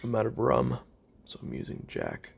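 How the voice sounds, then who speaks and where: A man playing a weary, lonely young retired assassin who makes a halting, brooding confession on tape in an audio roleplay, with a gravelly, husky voice. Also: medium pitch, huge pitch swings, heavily acted. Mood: weary, bitter. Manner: halting, brooding, trailing off with sighs and pauses.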